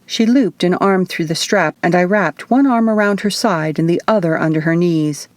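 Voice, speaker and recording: A woman's voice: on the low side, normal speed, no echo. A woman reading scripted first-person fiction as an audiobook narrator. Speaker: author reading own audiobook.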